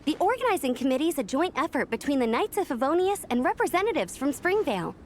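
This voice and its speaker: Chatty young knight girl for a game, bubbly, high voice, very animated. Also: fast, slight background noise. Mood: chatty, helpful. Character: perky, friendly young female knight and event organizer. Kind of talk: video game voice acting.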